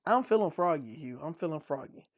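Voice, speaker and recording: A man's voice: a little high, expressive, brisk, no room sound, studio quality. A man co-hosting a casual podcast, playfully telling dad jokes and laughing at them. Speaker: podcast co-host.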